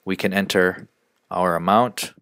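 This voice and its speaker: A man narrating an instructional screencast as a software trainer, explaining each step in a measured way. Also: deep voice, fairly even intonation, smooth, no echo, clean studio sound.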